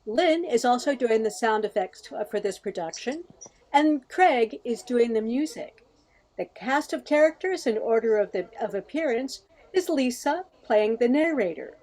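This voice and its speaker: An older woman narrates scripted stage directions for a radio play. Her voice is high, with very animated intonation. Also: normal speed, low-quality recording.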